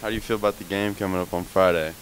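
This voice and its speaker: A man's voice: low-pitched, fairly even intonation, racing, slight background noise, poor audio. A teenage boy asking short questions in a school hallway, holding a handheld mic. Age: teens. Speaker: student interviewer.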